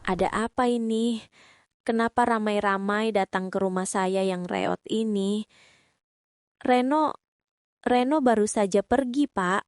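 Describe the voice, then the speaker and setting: A woman's voice: mid-range voice, unhurried, long pauses, no echo, close to an everyday voice. A woman playing a poor, long-suffering mother in a cartoon drama, earnest and pleading. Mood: distressed, disbelieving.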